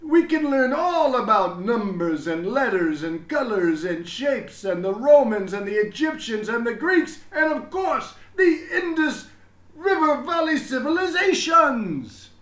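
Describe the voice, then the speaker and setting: Here is an exuberant, school-obsessed principal from a children's storybook. He booms proudly in a raised, swooping voice and stretches his words for emphasis. The room echoes around him. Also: big pitch swings, fairly bright tone, heavily acted. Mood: gushing delight. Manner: booming, drawn-out, emphatic delivery.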